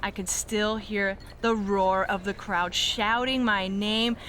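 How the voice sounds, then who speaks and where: A woman's voice: mid-range voice, fairly even intonation, slow pace, slight background noise. An actress playing a boastful, bratty woman wrestling champion in a video game cutscene, smug and mocking. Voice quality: bright, taunting.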